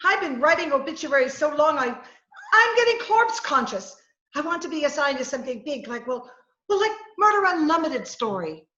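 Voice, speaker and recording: A woman's voice: sits high, very animated, moderate pace, bright, forceful tone, full character voice. A woman playing a headstrong, impatient newspaperwoman in an emphatic, bickering 1940s radio comedy style. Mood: indignant. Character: feisty, headstrong newspaperwoman, impatient fiancée of her editor. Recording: echoey, dull video-call sound.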